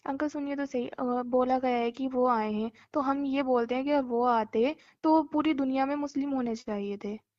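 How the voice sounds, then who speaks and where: A young woman speaks as a caller in a high voice with a flat, even melody. She is earnest and polite, yet keeps pressing her point. The phone recording is dull and lo-fi. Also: normal speed. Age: young adult. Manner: earnest, polite but persistent. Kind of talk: informal phone conversation. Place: phone call.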